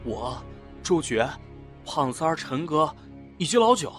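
Audio drama, actor as anxious young male student, very high voice, lively swooping intonation, music behind. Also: measured pace. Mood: uneasy. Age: young adult. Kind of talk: Chinese audio drama acting.

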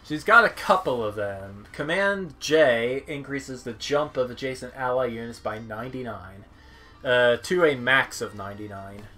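A man's voice: mid-range voice, sharp tone. Man casually commentating, game music behind. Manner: halting, musing, reads on-screen text aloud. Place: indoor room at home, seated.

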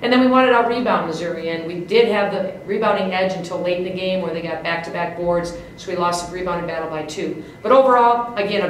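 Lower woman coach in an echoey room. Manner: upbeat, emphatic, frequent restarts and fillers. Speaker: head basketball coach. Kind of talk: postgame press conference. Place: press room, indoors. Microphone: desk mic on stand. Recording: echoey room, mic at a distance, faint hiss.

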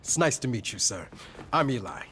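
A man's voice: mid-range voice, sing-song, moderate pace, fairly bright tone. Young male sidekick, eager, polite, bouncy intonation, game cutscene, ambient noise. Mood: friendly, earnest. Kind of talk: video game cutscene acting.

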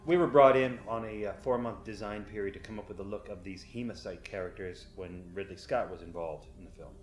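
A man's voice: on the low side, a little fast. A middle-aged man, an effects artist, explaining his past work in an interview. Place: indoor room, seated interview. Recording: echoey room, faint music.

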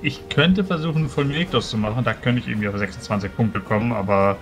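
Bright-voiced man talking rules. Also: medium pitch, quick pace, soft background music. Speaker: role-playing game player. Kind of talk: explaining game rules in casual talk.

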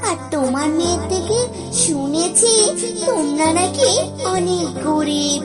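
A gentle, solemn talking tree from a children's cartoon, voiced by a woman. It speaks in a measured, very high voice and advises kindly, with music clearly underneath. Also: animated, heavily acted.

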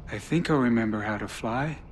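A man's voice: medium pitch, fairly quick, slight background noise, natural voice. A man playing a timid, flustered, starstruck helicopter pilot in a video game, stammering and gushing. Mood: eager, nervous. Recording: music behind the voice.

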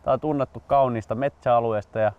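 Male presenter, twenties, everyday mid-pitched voice, chatty hosting, slightly lo-fi sound. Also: steady tone, clean tone. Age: 20s. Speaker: host. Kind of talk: scripted-style presenting and casual chat.

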